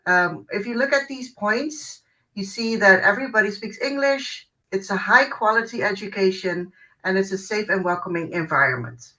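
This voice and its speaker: A woman's voice: mid-range voice, normal speed, sharp tone, low-quality recording. A woman working as a university recruiter, giving an informal presentation in an online webinar. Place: online video call.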